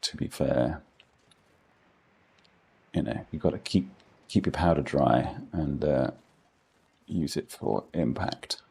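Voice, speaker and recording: Middle-aged english guy with a posh accent, slow and husky, rambling dry jokes at his viewers while he plays. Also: extremely low pitch, dark tone. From England. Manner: rambling, self-deprecating, dry humour. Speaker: gaming streamer. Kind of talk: casual gameplay commentary chatting with viewers.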